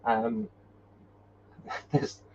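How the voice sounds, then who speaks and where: A man hosting a casual paranormal live chat and reading out viewer comments, talking in an informal, halting way. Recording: muffled, noisy live-stream audio.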